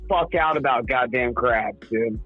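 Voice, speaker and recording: A man's voice: medium pitch, unhurried, husky, faint music behind. A man calling in to a stream, cursing freely and getting riled up, his voice strained from singing. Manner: profane, blustery, easily riled. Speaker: call-in guest. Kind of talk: casual comedic banter. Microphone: remote call. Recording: tinny phone-like call line.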